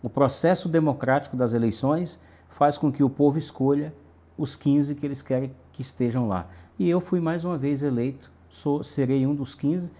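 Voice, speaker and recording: A man's voice: medium pitch, normal speed, mellow. A middle-aged man from Brazil's Northeast, a city councillor answering interview questions emphatically with lists and repetition.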